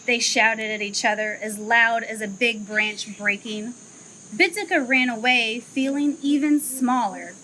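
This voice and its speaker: A young woman who works at a zoo reads a children's picture book aloud outdoors, in an expressive storytelling manner. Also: fairly high pitch, a little hiss or hum, rough recording. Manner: slow, expressive storytelling, livelier chat afterward. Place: outdoor zoo enclosure with trees.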